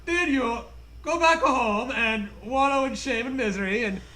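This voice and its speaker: A man's voice: extremely high pitch, a little slow, bright, forceful tone, a little hiss or hum, rough recording. A man co-hosting a comedy show, riffing casually with sarcasm and profane mock indignation.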